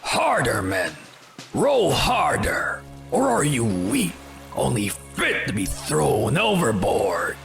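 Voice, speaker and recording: A man's voice: mid-range voice, huge pitch swings, takes it slowly, vocal fry, fairly bright tone, faint music behind, heavily acted. A man playing a menacing, proud pirate captain in an audio drama, with an imperious, formal way of speaking. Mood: harsh, bullying. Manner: imperious, formal, stilted phrasing.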